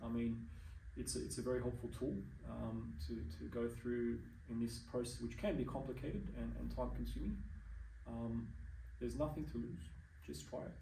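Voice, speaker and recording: Aussie guy in an interview, earnest, mellow dark voice, echoey room, kinda far off mic. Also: medium pitch, steady tone, vocal fry, slight background noise. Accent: Australian. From Australia. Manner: earnest, measured, with frequent ums and restarts. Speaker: interview guest. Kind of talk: casual interview chat.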